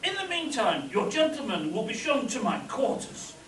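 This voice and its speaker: A man's voice: extremely high pitch, big pitch swings, normal speed, a bit bright, a little hiss or hum, heavily acted. A man playing a pompous, dignified Mayan king with grand formal delivery in a spoof radio adventure. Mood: solemn. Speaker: amateur actor in a live radio play. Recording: echoey live hall, distant mic.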